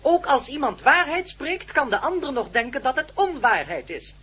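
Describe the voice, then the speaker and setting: An actor playing a solemn, telepathic non-human man in a radio play, speaking formally and literally in a husky voice. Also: extremely high pitch, sing-song, pushed and bright, exaggerated voice. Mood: pondering. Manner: deliberate, formal, literal-minded. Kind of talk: Dutch radio play acting.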